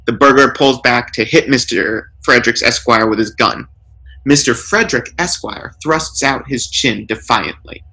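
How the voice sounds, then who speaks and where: Male narrator for comic short fiction: deliberate, theatrical, lively melody, forceful tone. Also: mid-range voice, creaky, poor audio. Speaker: story reader.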